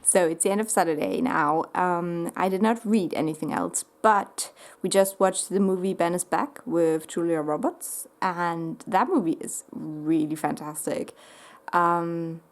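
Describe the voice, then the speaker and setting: A woman's voice: on the low side, unhurried. Chatty woman book vlogger. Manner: chatty, relaxed, with frequent "um" and "like". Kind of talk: casual vlog chat.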